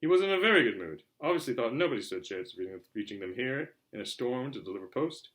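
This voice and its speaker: A man reading a storybook aloud as a narrator, in an expressive, theatrical style. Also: mid-range voice, fast. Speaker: audiobook reader.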